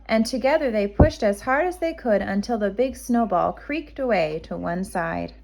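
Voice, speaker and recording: A woman reading a children's storybook aloud as a kindergarten teacher, expressive and measured for young listeners. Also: mid-range voice, gravelly, poor audio.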